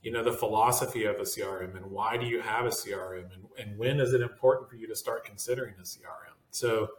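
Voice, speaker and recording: A man's voice: on the low side, fairly even intonation, fast talker. Rambling male podcast host, echoey room. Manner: rambling, hedging with fillers. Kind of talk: casual roundtable chat.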